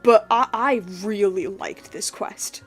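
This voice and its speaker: A woman's voice: mid-range voice, slow, faint music behind. A woman giving casual, rambling gameplay commentary, slangy and informal, repeating herself for emphasis. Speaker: video game streamer.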